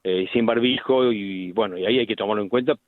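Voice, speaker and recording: A middle-aged man with an Argentine accent, a medical specialist explaining things deliberately in a radio interview, with frequent restarts. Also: fairly low pitch, no echo. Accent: Argentine Spanish. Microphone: phone line. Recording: tinny phone line, dry.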